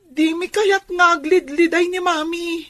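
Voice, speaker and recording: Very high, pleading sad child. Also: female voice, steady tone, a bit bright. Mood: tearful pleading. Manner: plaintive, slow pleading. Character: sad, pleading child begging for a father's return. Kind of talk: radio drama acting.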